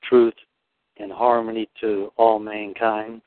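A devout man speaks earnestly about faith and often pauses to restart a phrase. His voice comes through a narrow, phone-like line. The sound is dry, with no room around it. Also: fairly low pitch, flat delivery, no room sound. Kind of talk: spiritual discussion.

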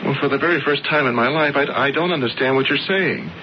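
A man's voice: mid-range voice, quick pace, slight background noise, natural voice. An actor playing an anxious, lovesick young sculptor who stammers and pleads with his older brother, heard on a dull, lo-fi radio broadcast. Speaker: narrator and lead character. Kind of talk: 1970s radio drama acting.

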